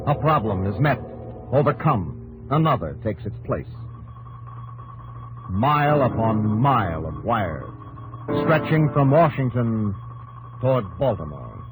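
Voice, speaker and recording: Casting: male narrator for vintage radio drama, lowish voice, solemn clipped phrasing, organ underscore. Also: very animated, unhurried, pauses often, low-quality recording. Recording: old radio broadcast with organ music.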